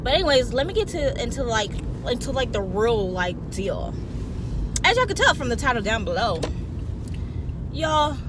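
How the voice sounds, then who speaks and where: A young woman vlogging a casual, chatty storytime from a car, animated and rambling, often interrupting herself. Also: on the high side. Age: young adult. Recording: noisy car interior with traffic hum.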